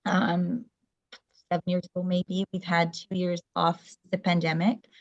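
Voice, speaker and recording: A woman's voice: fairly low pitch, flat delivery, unhurried, no room sound, muffled recording. A middle-aged woman whose first language is Portuguese gives a thoughtful talk over a video call, with frequent fillers and restarts. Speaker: presenter.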